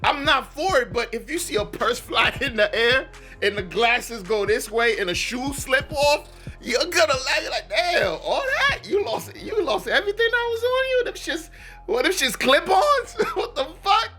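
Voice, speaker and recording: A man's voice: very high voice, lively intonation, moderate pace, sharp tone, faint music behind. A young man, chatting animatedly, laughing and swearing in a casual reaction commentary, his voice a bit worn. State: losing his voice. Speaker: reaction video host.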